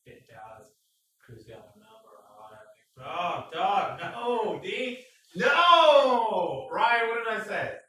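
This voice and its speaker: A man co-hosting a casual motorcycle live stream, joking and teasing with loud bursts. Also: high-pitched, big pitch swings, slow. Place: garage. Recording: echoey garage, far from mic.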